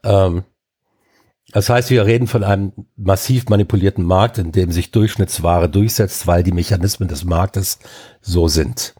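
A man's voice: sits low, normal speed, mellow, husky. An older man and podcast co-host with a stuffy nose from a cold, ranting emphatically in casual chat with many fillers.

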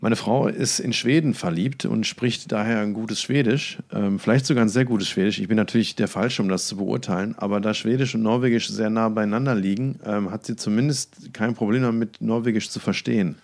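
Middle-aged guy cohost, lowish voice, dry teasing music chat, bit halting. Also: steady tone, darkish tone. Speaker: podcast co-host.

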